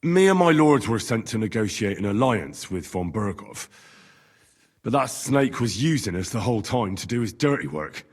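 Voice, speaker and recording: A husky, earnest young man in service to lords for a medieval game. His questioning delivery moves lively up and down, ringing with long hall reverb. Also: lowish voice, normal speed. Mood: contrite, indignant. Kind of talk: dramatic video game cutscene acting.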